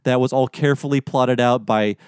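A man's voice: medium pitch, steady tone, brisk, a little mellow, clear, resonant tone, no room sound, studio quality. A man hosting a podcast, giving opinionated, articulate explanations in a casual conversational way. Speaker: podcast host.

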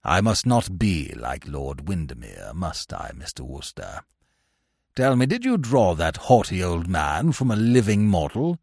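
A man voicing a haughty but sentimental old upper-class English lord, speaking in a slow, ponderous murmur. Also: deep voice, very animated, gravelly, a little mellow, breathy edge, dry recording, studio quality, voice pushed down, exaggerated voice.

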